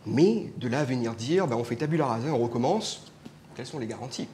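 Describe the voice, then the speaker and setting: A man's voice: medium pitch, brisk, slight background noise. A man, a political scientist and politician from Mauritius, arguing his point emphatically in a live panel debate. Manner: argumentative, animated, emphatic. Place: indoor public event.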